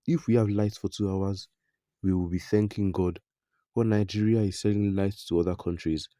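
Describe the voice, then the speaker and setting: A man reads a news report in a low, mellow voice. His delivery is steady and scripted, recorded dry and close with no room sound. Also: no room sound. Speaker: news narrator. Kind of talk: scripted news narration, reading out quotes and comments.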